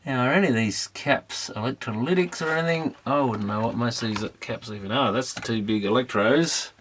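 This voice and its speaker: A man gives an offhand running commentary as he tinkers at a repair bench. He speaks in a tentative, muttering way, as if thinking aloud. The pitch is average for a man, and there is slight noise with clicks behind him. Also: fairly quick, fairly bright tone. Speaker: amateur electronics repairer presenting. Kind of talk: casual unscripted workbench commentary. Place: repair workbench indoors.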